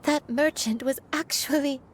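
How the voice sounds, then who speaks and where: A woman's voice: sits high, fairly even intonation. A woman acting a remorseful woman looking back on her life in a solemn, confessional way for a video game. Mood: shaken disbelief. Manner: solemn, confessional, slow sorrowful delivery. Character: remorseful woman, formerly a crane, now a grandmother, recounting her past.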